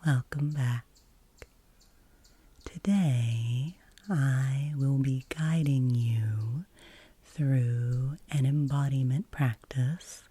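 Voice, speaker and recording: Woman, ASMR guide, breathy whisper, very low pitch, slow pace, gentle, soothing. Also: dry recording. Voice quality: breathy, whispery soft-spoken.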